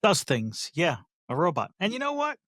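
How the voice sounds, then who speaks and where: Emphatic man co-host, slightly high voice. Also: huge pitch swings, a bit bright, dry recording, clean studio sound. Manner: emphatic, quick to react, reels off figures. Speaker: podcast co-host. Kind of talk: casual chat.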